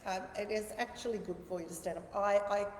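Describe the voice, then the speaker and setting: A woman's voice: medium pitch, a little fast, a little mellow. Australian woman in a very echoey room. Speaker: interview guest. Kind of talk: casual conversational interview. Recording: echoey reverberant room with background noise.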